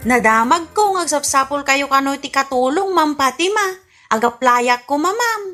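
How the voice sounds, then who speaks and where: Brazen comic housemaid, actress, piercing high voice, very animated and cheeky. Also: female voice, moderate pace, soft background music. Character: cheeky, unafraid new housemaid seeking work. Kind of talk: comedy radio drama acting.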